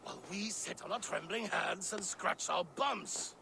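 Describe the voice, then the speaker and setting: Hotheaded thor, super gravelly, impatient, high and edgy. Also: male voice, measured pace, bright, forceful tone, slight background noise, heavily acted. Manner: impatient, blustering. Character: Thor, brash hot-headed male thunder god.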